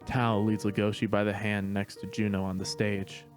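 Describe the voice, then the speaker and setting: A man narrating stage directions in a steady, matter-of-fact reading voice. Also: lowish voice, moderate pace, faint music behind. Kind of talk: plain read-aloud narration.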